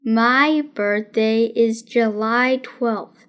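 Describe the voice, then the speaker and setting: A woman voicing a serious young boy detective in a storybook, deadpan and matter-of-fact. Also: fairly high pitch, very slow, clean tone, pitched below the performer's own voice. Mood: deadpan, puzzled.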